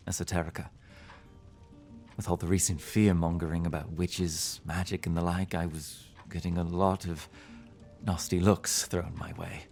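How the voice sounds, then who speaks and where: A man playing a weary, amiable, easily flustered travelling bookseller in a fantasy audio drama, speaking hesitantly. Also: sits low. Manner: hesitant, stammering. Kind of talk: fantasy podcast acting.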